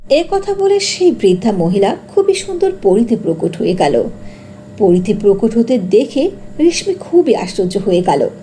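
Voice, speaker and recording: A woman's voice: on the high side, big pitch swings, normal speed, warm tone, slight background noise. A woman narrating a scripted fairy tale for a cartoon.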